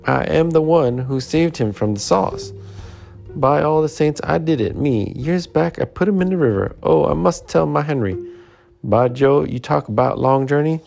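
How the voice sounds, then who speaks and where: A man voicing an excitable French-Canadian lumberjack who speaks loud, broken English in a storybook reading. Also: medium pitch, a little slow, soft background music, voice pushed up. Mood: excited.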